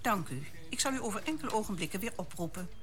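An actress playing a businesslike, sceptical air traffic controller in a radio play. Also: female voice, mid-range voice, animated, fast, fairly bright tone, natural voice. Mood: formal.

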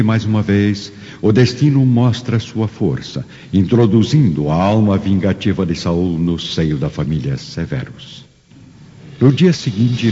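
A man's voice: sits low, mellow. A Brazilian man giving dramatic scripted narration for a radio serial. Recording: long reverb, dull, music behind.

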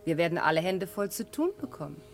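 A woman's voice: fairly low pitch, sing-song, fast talker, gravelly, slight background noise. A woman playing a genteel, easily enraptured lady in a literary radio drama, speaking formally. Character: genteel, rapturous lady companion of pastor.

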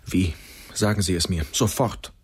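An actor playing an intense, brooding man with a husky voice in a radio drama. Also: deep voice, normal speed. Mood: shocked, urgent. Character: grown man, anxious father, intense and brooding.

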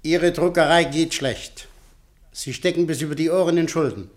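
Casting: male actor as brusque, commanding newspaper man, slightly high voice. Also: moderate pace, natural voice. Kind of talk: radio play acting.

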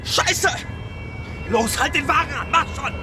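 An actor playing a foul-mouthed, panicked armed robber barking orders during a getaway in a radio drama. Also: male voice, very high voice, measured pace. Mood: urgent, aggressive. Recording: street, car and loud music behind.

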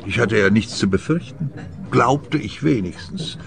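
Low-voiced, vain older client. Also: male voice, lively intonation, unhurried, creaky, a crowd in the background. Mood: worried, explaining. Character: vain older male client, wanted by police, evasive. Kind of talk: radio play dialogue.

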